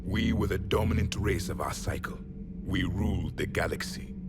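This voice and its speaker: An actor playing a grim, proud alien warrior, the last of a fallen empire, speaking in solemn, blunt declarations for a video game. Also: male voice, sits low, normal speed, natural voice. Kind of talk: dramatic video game acting. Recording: dramatic music behind the voice.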